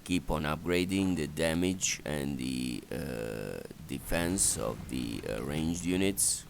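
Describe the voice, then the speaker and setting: A man narrates a strategy game walkthrough in a very deep, creaky voice. He gives plain, halting instructions at a slow pace over game sounds. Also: expressive, slight background noise. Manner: matter-of-fact, instructional, halting. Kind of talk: unscripted strategy game commentary. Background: game ambience and effects.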